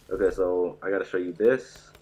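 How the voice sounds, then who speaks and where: A man streaming live about a fighting game, rambling and excitable, sounding stuffy from a sore throat. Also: sits low, highs cut off, slight background noise. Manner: excitable, rambling, says "bro" a lot. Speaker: gaming streamer.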